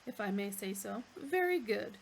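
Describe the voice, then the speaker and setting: A woman's voice: medium pitch, lively intonation, moderate pace, creaky, slight background noise. A woman voicing a polite, eager male beast that offers itself as a meal, in a courteous waiter-like manner. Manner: courteous waiter-like salesmanship, contented.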